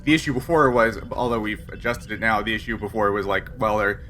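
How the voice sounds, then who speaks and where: A man running a tabletop game as game master, explaining the scenario to players in a casual, halting, explanatory way. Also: lowish voice, sharp tone. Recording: noticeable background noise.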